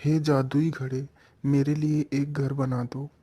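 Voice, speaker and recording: Guy doing a humble nice younger brother in a village cartoon, earnest, mellow and kinda even. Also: medium pitch, slow, husky. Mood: pleading. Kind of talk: Hindi moral-story cartoon dialogue.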